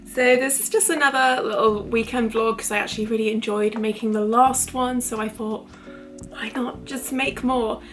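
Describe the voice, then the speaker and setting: A woman in her twenties vlogging casually to camera, chatty and rambling, her voice a little croaky from illness. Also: on the high side, steady tone, normal speed, faint music behind. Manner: chatty, rambling, lots of fillers. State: recovering from illness, voice a bit croaky. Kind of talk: casual talking-to-camera vlog.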